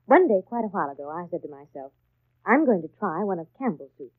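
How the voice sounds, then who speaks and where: An actress playing a chatty, confident housewife in a 1930s radio commercial. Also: female voice, fairly low pitch, very animated, quick pace, slightly rough, no room sound. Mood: breezy, persuasive. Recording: dry, tinny 1930s radio broadcast.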